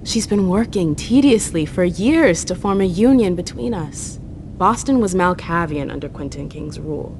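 A woman's voice: fairly low pitch, lively intonation, normal speed, a little mellow, a little hiss or hum, lightly acted. A woman playing a bold, defiant young vampire envoy in a dramatic video game. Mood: earnest, persuasive.

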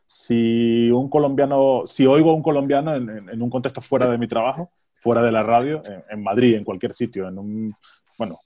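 A man's voice: medium pitch, mellow. A man in his forties with an accent from Spain, talking conversationally as a journalist on a video call and repeating words as he thinks aloud. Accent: Spanish from Spain. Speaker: radio journalist. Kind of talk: conversational panel discussion. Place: home study, on a video call. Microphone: earphone headset on a video call.

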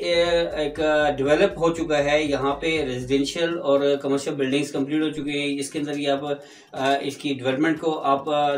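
A middle-aged man with a Pakistani Urdu accent, pitching a property project to camera in an explanatory way, filling pauses with "uh". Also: roomy sound, far from the mic, slight background noise. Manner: explanatory, frequent "uh" fillers. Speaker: real estate agent. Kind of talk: property promotion talk to camera. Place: indoor room before green screen. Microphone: clip-on lapel mic.

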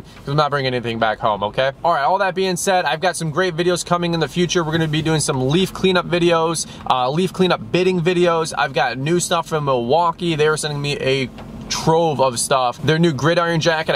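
A chatty, upbeat man in his thirties talks to his viewers from inside a parked car. His voice sits a little high for a man, and a faint hum hangs behind him. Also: a bit bright. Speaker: lawn care YouTuber. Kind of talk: casual vlog update. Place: parked vehicle, indoors. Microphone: camera on dashboard.

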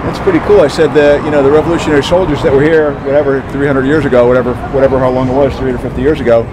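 A man's voice: mid-range voice, level tone, very fast, noisy background. An older man from New Jersey chatting casually in a rambling, upbeat way, filming himself at arm's length while walking from a street into a brewery. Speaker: vlogger. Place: outdoors on a town street, then inside a large brewery hall. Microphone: handheld camera at arm's length.

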